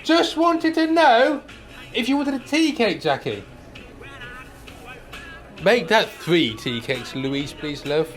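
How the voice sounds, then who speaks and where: A man's voice: sits high, expressive, takes it slowly, pauses often, faint music behind. A man playing a fussy, pedantic, mild-mannered older man in a comic radio play, wordy and precise. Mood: fussy, wry. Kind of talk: radio play comedy acting.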